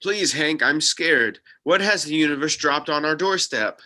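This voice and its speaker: Guy doing practical young buddy who doubts everything, sharp bright voice, dry casual delivery, tv script read. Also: on the high side, animated, measured pace, heavily acted. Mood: alarmed. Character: skeptical, practical young man, sidekick to an investigator. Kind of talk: table read of a TV pilot script.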